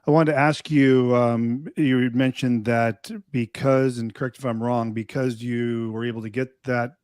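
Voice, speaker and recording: A man's voice: mid-range voice, fairly even intonation, unhurried, a little mellow, clear, resonant tone, no room sound. Dry-sounding male podcast host. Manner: rambling questions with fillers. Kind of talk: casual interview talk.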